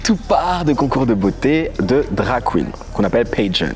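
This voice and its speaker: A young man from Paris, a dance guest in a casual interview who talks in a lively, playful way with quick asides. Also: medium pitch. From Paris, of Congolese origin. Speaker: guest, voguing dancer and house leader. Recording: faint music underneath.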